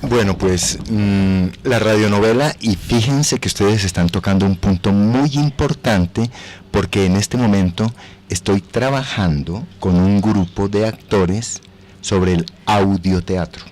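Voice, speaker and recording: Male radio guest, Colombian, low voice, measured emphatic explainer who poses questions to listeners. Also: a little mellow. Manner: deliberate, emphatic, with rhetorical questions and dropped asides. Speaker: guest, radio and theatre actor. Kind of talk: radio interview, animated explaining.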